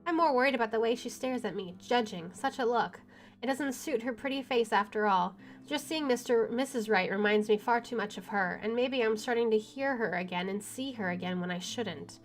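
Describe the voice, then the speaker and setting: A woman streaming a visual novel, reading the narration aloud and breaking in with chatty reactions. Her voice sits fairly high. Faint game music plays under her. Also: brisk.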